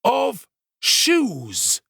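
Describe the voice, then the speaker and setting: Man playing a pompous, slow-talking, gravelly servant. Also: high voice, sing-song, dry recording, studio quality, voice pushed up, exaggerated voice. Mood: dramatic, grave. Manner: pompous, drawn-out, emphatic. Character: pompous, self-important male servant telling his alarming adventure. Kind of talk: dramatised storybook reading.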